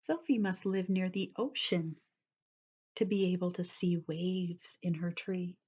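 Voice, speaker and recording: Woman, children's storyteller, slow unhurried reading, dull lo-fi sound. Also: lowish voice, husky. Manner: expressive, explains words to young listeners; drops to a whisper at times. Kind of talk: picture book read-aloud for children.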